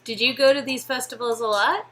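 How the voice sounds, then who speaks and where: Bright, high-pitched, teasing woman. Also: steady tone, brisk. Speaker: co-reader performing a visual novel game. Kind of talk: casual, playful dialogue read-aloud.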